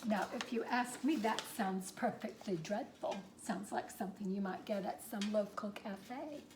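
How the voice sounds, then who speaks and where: Woman as a slow, haughty theatrical storytelling diva. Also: mid-range voice, noisy background, close to an everyday voice. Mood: dry disdain. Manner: affected, melodramatic, aloof. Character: haughty theatrical actress reading to children. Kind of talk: dramatic storybook reading with dry asides.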